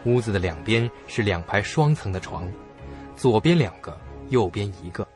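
An actor narrating a suspense audio drama in the first person as a curious, stubborn young student, with expressive, suspenseful delivery. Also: male voice, lowish voice, normal speed, close to an everyday voice. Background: music behind the voice.

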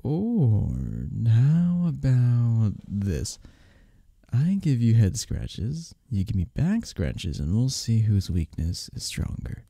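A man playing a sleepy, affectionate, playful husband in bed, speaking softly and teasingly in an intimate ASMR roleplay, close to the mic. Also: medium pitch, big pitch swings, dry recording, heavily acted. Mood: tender, playful. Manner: soft, drowsy, teasing, unhurried. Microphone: close, dry microphone.

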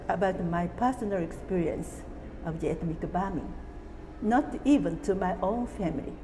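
Elderly woman whose first language is Japanese, slow careful delivery, mellow tone, reverberant room. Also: lowish voice, slight background noise. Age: 80s. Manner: slow, careful, deliberate reading from notes. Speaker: atomic bombing survivor. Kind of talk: reading a prepared testimony. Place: indoor room, seated at a table.

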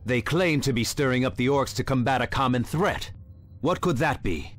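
A man's voice: medium pitch, animated, normal speed, slight background noise, natural voice. A man playing a confident, eager young space marine sergeant in a video game, bold and breezy. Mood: questioning. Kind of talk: video game character acting. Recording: loud music and battle behind.

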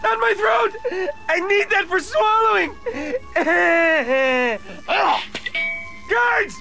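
An actor voicing a manic, whiny little cyborg henchman in a cartoon game, shrill, scratchy, melodramatic and childish. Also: animated, very slow, vocal fry, music in the background, full character voice. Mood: frantic. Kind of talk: cartoon video game acting.

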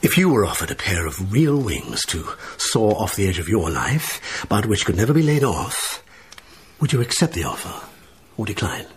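Urbane gentleman, radio drama, lowish voice, lively intonation, wry, teasing. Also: moderate pace, slight background noise. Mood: wry, probing. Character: wry, probing gentleman friend of a baroness.

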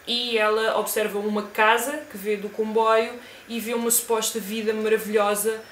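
A woman's voice: fairly high pitch, little pitch movement, moderate pace, a bit bright, echoey room, slight background noise. A young woman with a European Portuguese accent, chatting casually through a book review at home, with frequent fillers and restarts. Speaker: book vlogger. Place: home room with bookshelves. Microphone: desk camera at close range.

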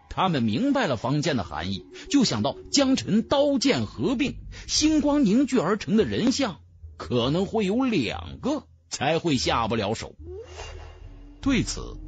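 A man narrating a fantasy novel in a dramatic, expressive storytelling manner. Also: fairly high pitch, highs cut off. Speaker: audiobook narrator. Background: background music.

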